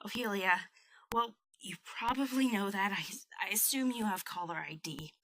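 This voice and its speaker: A woman's voice: mid-range voice, expressive, a bit bright, a little hiss or hum, natural voice, poor audio. A woman acting a hesitant, apologetic young college student in a naturalistic audio drama. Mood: timid, downcast. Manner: halting, apologetic, unsure. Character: hesitant young female college student, hurt but quietly resolute.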